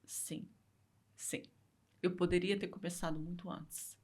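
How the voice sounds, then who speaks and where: A woman's voice: fairly low pitch, steady tone, measured pace, a little mellow, in a whisper. A Brazilian woman speaking Portuguese with a Brazilian accent, a guest telling her story in a casual interview, earnest and repeating phrases for emphasis.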